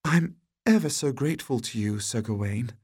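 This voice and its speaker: A man's voice: mid-range voice, expressive, moderate pace, a little mellow, breathy edge, dry recording, studio quality. A man voicing a polite, grateful young damsel in a storybook, with courteous, formal speech.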